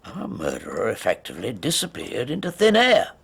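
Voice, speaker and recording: An actor playing a proud, eager and slightly prickly country police detective in a radio drama, with emphatic delivery. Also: male voice, medium pitch, huge pitch swings, fairly quick, vocal fry, a bit bright, breathy edge, exaggerated voice. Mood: eager, explaining.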